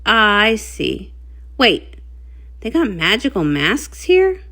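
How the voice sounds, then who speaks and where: A woman doing an animated read-along of a comic, acting out the dialogue in character. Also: medium pitch, slow pace. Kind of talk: animated storybook character reading.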